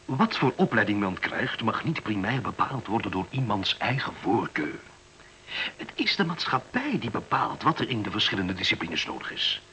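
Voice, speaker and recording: A bright, forceful pompous male official in a radio drama, his pitch swinging widely. He is stubborn and blustering, and starts to stammer when rattled. The old recording has some background noise. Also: big pitch swings, normal speed, exaggerated voice, poor audio. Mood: self-assured. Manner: blustering, hesitant when cornered. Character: pompous, stubborn official running an education experiment, easily rattled. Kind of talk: Dutch radio play acting.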